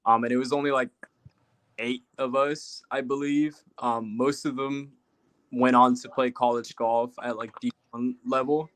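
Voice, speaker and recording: A man's voice: medium pitch, flat delivery, measured pace, a bit bright. A young man from Puerto Rico, a guest telling rambling stories in casual chat with many fillers.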